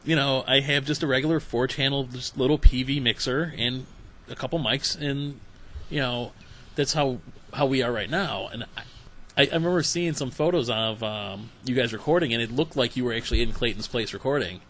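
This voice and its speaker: A man's voice: mid-range voice, a little fast, a bit bright. A man hosting a podcast, chatting casually in a rambling, self-deprecating way with his guests. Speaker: podcast host interviewing guests. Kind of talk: casual conversational interview. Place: home basement podcast setup. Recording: faint background noise, home podcast.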